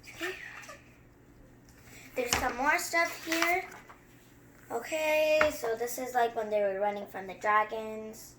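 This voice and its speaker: A young-sounding story reader who reads a picture book aloud and chats through it with expressive, wandering asides. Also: mid-range voice, slow, pauses often, noisy background. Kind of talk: children's story time read-aloud with excited running commentary.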